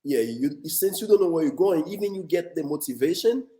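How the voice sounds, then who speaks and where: A man's voice: a little high, animated, fairly quick, warm tone. A man from Haiti with a Haitian accent, a guest on an interview show earnestly telling his life story, often repeating words as he goes. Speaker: guest, motivational speaker. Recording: echoey room.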